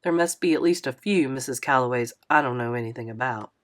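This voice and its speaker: A deep-voiced older man with an easygoing drawl, as a woman puts him on. He ribs people good-naturedly and keeps his tone loose and playful. Storybook character from a read-aloud audiobook. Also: fast talker. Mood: musing.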